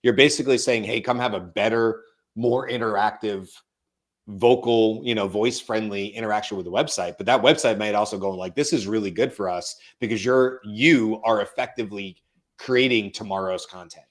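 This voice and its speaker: A man with a fairly low voice co-hosts a live talk show, chatting loosely with plenty of fillers. His voice is going hoarse. He sounds like a desk mic on a remote call. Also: normal speed. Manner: relaxed, rambling, frequent fillers. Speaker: co-host. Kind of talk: casual live show chat.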